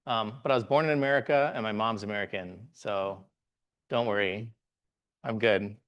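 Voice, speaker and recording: A man in his forties, from the Bahamas, giving a live tech meetup talk as a guest presenter, relaxed and halting with frequent "uh"s and restarts. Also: lowish voice, highs cut off. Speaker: guest presenter, software engineer.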